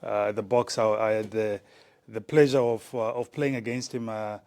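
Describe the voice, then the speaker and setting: Man from zimbabwe, forties, lowish voice, halting, many fillers, casual interview guest. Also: steady tone, darkish tone. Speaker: guest, former rugby player.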